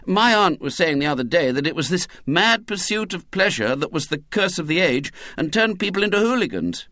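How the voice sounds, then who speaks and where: Man reading a long-winded earnest boy, raised pitch, dry read. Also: big pitch swings, a little fast, bright, forceful tone, no echo. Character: earnest, self-righteous schoolboy.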